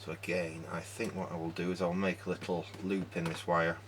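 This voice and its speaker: Male hobby repairer, low voice, informal step-by-step working commentary, noticeable background noise. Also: level tone, brisk, fairly bright tone. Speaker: hobbyist electronics repairer and presenter. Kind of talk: casual hands-on repair commentary.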